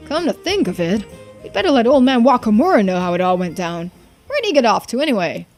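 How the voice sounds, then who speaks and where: A woman's voice: a little high, sing-song, a little fast, a little mellow. A woman plays an easygoing young diver from a game. The talk is slangy, cocky and laid-back, with music behind.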